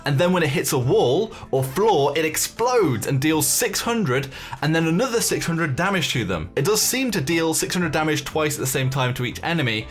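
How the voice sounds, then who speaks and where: A man's voice: medium pitch, fairly bright tone. A man giving casual, chatty commentary as the host of a gaming news video.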